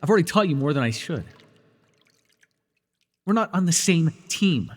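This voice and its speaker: A man's voice: fairly high pitch, big pitch swings, moderate pace. A man playing a sardonic telepath villain who is a reluctant, protective mentor in a superhero audio roleplay, intense and emotive. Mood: stern, angry. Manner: intense, emotive, swinging between biting and tender.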